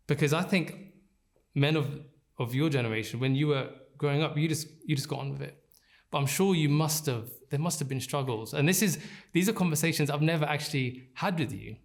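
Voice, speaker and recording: A man hosting an interview who asks probing questions and murmurs soft agreement while the guest talks. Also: mid-range voice, creaky. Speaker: interviewer, podcast host. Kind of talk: casual interview conversation.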